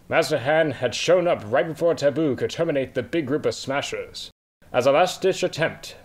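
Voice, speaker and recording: A man reads the narration of a fan-made adventure in a mid-range voice. His delivery is dramatic and storybook-like, and it carries the scene between the characters. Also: medium pitch. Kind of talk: scripted narration.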